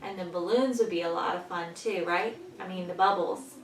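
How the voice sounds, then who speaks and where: A woman teaching young children, patiently explaining in simple words and pausing after questions, as in a story lesson. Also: lowish voice. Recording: echoey room, distant mic, faint noise.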